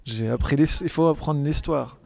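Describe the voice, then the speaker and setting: A man interpreting a panel speaker simultaneously, talking in halting phrases with frequent restarts and self-corrections. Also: a little high, vocal fry, a little mellow, no echo. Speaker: conference interpreter.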